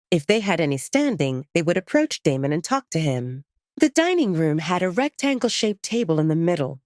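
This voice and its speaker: A woman narrates a scripted audio drama in a slightly low voice. Her reading is animated and expressive, captured close and very dry on a studio microphone. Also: dry recording.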